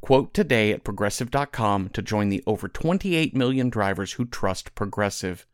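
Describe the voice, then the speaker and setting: A man's voice: fairly low pitch, animated, normal speed, clear, resonant tone, no echo, clean studio sound. A man presenting casual, scripted promotional spots for a podcast in a wry, conversational manner.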